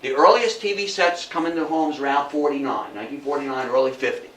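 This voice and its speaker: A man's voice: a little high, fast talker, a bit bright. An older man gives an informal history talk, telling stories emphatically, repeating phrases and asking rhetorical questions. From Athens, New York, United States. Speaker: local history lecturer. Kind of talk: informal public lecture with storytelling. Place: indoor talk before a small audience. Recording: echoey room, distant mic, faint noise.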